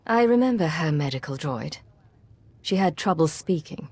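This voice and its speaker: A cool, loyal female agent in a sci-fi video game. Her voice sits a little low with a raspy edge. She keeps her lines terse, guarded and controlled. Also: expressive, moderate pace, a little mellow, no room sound. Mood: wary.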